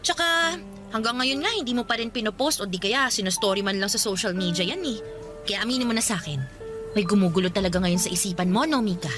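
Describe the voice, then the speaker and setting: A woman's voice: medium pitch, fast, music in the background, lightly acted. A woman acting as a nosy, frank young best friend in a radio drama, with a chatty, prodding, colloquial way of talking. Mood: frank, probing.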